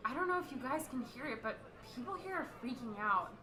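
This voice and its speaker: A woman playing an eager, defensive field reporter in a radio play, speaking haltingly. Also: high voice, animated. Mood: earnest. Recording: crowd noise around the voice.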